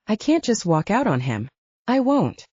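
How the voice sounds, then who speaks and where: A woman's voice: mid-range voice, big pitch swings, measured pace, darkish tone, slightly rough, dry recording, highs cut off. A woman voicing a blunt, stubborn heroine in a paranormal romance audiobook, defiant and resolute. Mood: desperate.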